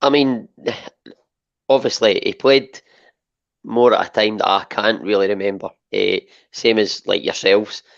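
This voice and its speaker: A hoarse Scottish man co-hosting a podcast, relaxed and talking with lots of fillers. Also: on the low side, unhurried, no echo, lo-fi sound. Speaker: podcast co-host. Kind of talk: casual podcast chat.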